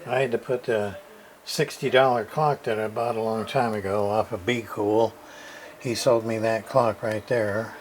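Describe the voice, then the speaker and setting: Male hobbyist repairer, unhurried and halting, casual off-the-cuff explanation, noisy ambient recording. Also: lowish voice, steady tone. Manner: halting, thinking aloud. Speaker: repair hobbyist showing his work. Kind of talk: casual unscripted repair demonstration. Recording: clear background noise.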